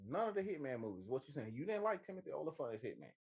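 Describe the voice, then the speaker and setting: A man's voice: on the high side, big pitch swings, very fast, gravelly, a little mellow, husky, highs cut off. A man co-hosting a show, bantering casually with emphatic, teasing delivery and repeated phrases. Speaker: podcast co-host.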